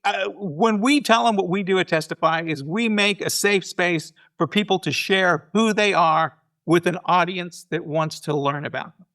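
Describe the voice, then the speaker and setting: An American man with a high-pitched, bright voice speaks as a guest in a casual interview. He is reflective, halting and doubling back on words while he works out a thought. His delivery is that of someone explaining his craft in conversation. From United States. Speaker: podcast guest, storyteller and show organizer.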